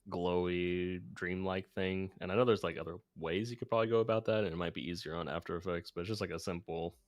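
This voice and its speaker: A man with a deep voice, recorded close and dry. He talks like a streamer walking viewers through an editing job, informal and a little self-deprecating. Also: fairly even intonation, quick pace, dry recording. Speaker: video editor and streamer. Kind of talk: casual livestream chat and editing walkthrough.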